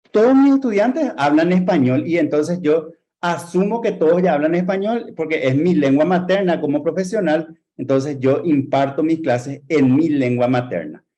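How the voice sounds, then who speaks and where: A man with a Paraguayan Spanish accent giving an earnest academic talk to teachers, posing rhetorical questions and stressing his points with measured emphasis. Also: on the high side, warm tone, highs cut off. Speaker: lecturer.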